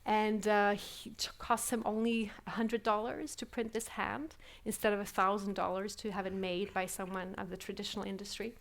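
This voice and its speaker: A woman's voice: mid-range voice, flat delivery, moderate pace, gravelly, few pauses. A woman giving a live keynote talk at a conference, reflective and full of fillers, often asking the audience questions, speaking English as a with as her first. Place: conference hall.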